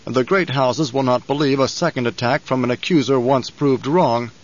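A man voicing a menacing, self-important male nobleman villain in an audiobook, with a haughty, emphatic and deliberate delivery. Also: mid-range voice, lo-fi sound, lightly acted. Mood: defiant, grandiose.

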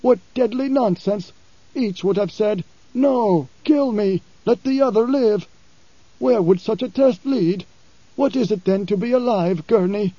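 A weary blind preacher, aged beyond his years by the desert, speaks in a raised, solemn and commanding tone, as a man reading a novel puts him on. The voice is mellow and the sound is dry and a little dull. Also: no room sound. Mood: scornful. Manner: solemn, measured, raised pitch.

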